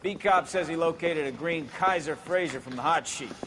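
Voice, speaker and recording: Brusque, highish-voiced police captain played by a man. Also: normal speed, close to an everyday voice. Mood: brusque, commanding. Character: brusque, commanding male police captain giving orders. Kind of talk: dramatic video game acting, 1940s crime drama. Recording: clearly noisy background with clicks.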